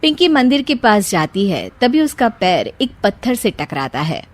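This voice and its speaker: A woman's voice: mid-range voice, big pitch swings, moderate pace. A woman reading scripted narration for a children's story.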